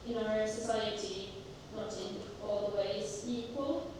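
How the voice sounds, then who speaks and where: A woman with a Finnish accent, a native Finnish speaker, moderating a seminar and talking slowly and hesitantly with many fillers. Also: mid-range voice. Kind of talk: personal talk at a seminar. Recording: echoey hall, mic far off, noisy.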